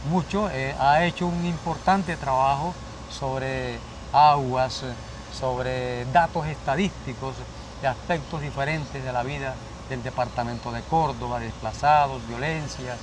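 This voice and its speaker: A man's voice: mid-range voice, a little slow. A man hosting a cultural interview program, introducing his guest formally and asking questions about the guest's early life. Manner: formal, presenter-like delivery. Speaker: interview host. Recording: background noise clearly audible.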